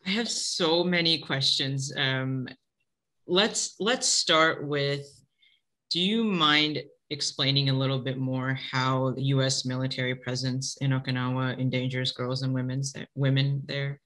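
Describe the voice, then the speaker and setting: A woman's voice: extremely low pitch, steady tone, unhurried, a bit bright. A woman hosting an interview on a video call, asking friendly, encouraging questions. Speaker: host.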